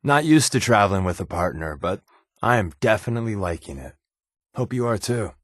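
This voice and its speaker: An actor playing a wry, wisecracking American undercover spy companion in a video game, with a glib, sardonic and casual way of talking. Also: male voice, on the low side, expressive, brisk, no room sound, studio quality. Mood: warm, sincere. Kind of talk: video game voice acting, conversational dialogue.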